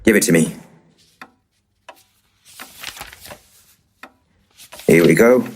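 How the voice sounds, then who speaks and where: A man playing a grumpy, boozy and pompous ghost hunter in a comic audio drama, curt and deadpan. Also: low-pitched, fast talker, a little hiss or hum, natural voice.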